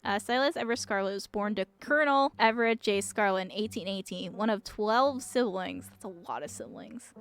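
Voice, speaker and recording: A woman's voice: mid-range voice, expressive, fairly quick, hardly any pauses, smooth. A woman streamer reading visual novel text aloud. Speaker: game streamer. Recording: music behind the voice. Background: music.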